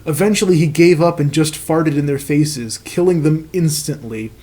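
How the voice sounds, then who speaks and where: Higher-voiced man, profane comic reader. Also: moderate pace, warm tone. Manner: profane, mocking. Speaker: host reading fan fiction aloud. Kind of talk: comic dramatic reading with casual asides.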